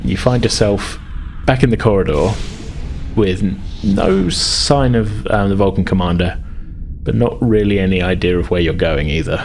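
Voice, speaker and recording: A man's voice: low voice, moderate pace, a little mellow, a little hiss or hum. A man narrating casually as game master in a roleplaying game, improvising and hedging as he goes. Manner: casual, hesitant hedging with "sort of" and "like".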